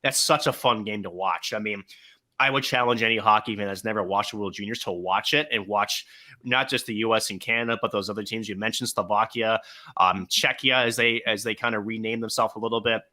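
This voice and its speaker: Low, bright-voiced man monologuing. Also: fairly even intonation, fairly quick. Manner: long monologues, filler-heavy. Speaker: podcast co-host, hockey broadcaster. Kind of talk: casual sports chat.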